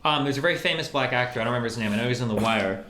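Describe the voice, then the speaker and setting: A man's voice: mid-range voice, very fast, sharp tone, reverberant, slight background noise. A man streaming, chatting casually with a co-host about merch.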